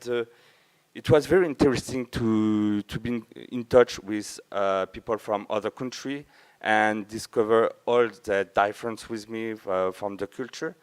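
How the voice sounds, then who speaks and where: A man from France with a French accent, a native French speaker, giving an informal conference talk in halting English, often searching for words. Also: lowish voice, fairly even intonation, clean tone. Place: large auditorium with a few listeners, speaker at the front.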